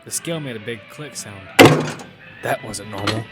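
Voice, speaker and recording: A man's voice: on the low side, moderate pace, loud music behind the voice, lightly acted. A man playing a casual, confident young gamer who narrates his story in the first person and teases his friends. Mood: narrating. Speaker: narrator and lead character. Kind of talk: first-person audio fiction narration and dialogue.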